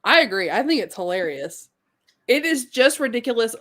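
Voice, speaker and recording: A woman's voice: a little high, sing-song, moderate pace, fairly bright tone. A woman chatting casually in a teasing, blunt way as a book reviewer on a live stream. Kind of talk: casual chat.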